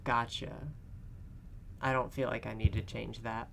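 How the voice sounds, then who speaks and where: A woman's voice: extremely low pitch, flat delivery, measured pace, gravelly, fairly bright tone, slight background noise. A young woman playing a visual novel on a call, self-deprecating and laughing often, answering her friend in short replies. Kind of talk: casual chat while playing a game.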